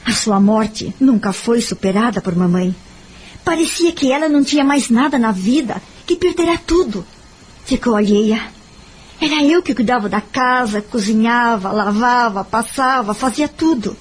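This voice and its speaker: A woman's voice: a little high, animated, normal speed. A woman with a Brazilian accent acting a wounded young woman who confides her past in an audio novel. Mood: sad, reflective. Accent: Brazilian Portuguese. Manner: sorrowful, confiding.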